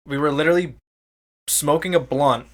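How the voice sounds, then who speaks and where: A man's voice: fairly high pitch, moderate pace, fairly bright tone. A man co-hosting a podcast, telling stories in casual, informal, sweary chat. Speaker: podcast co-host.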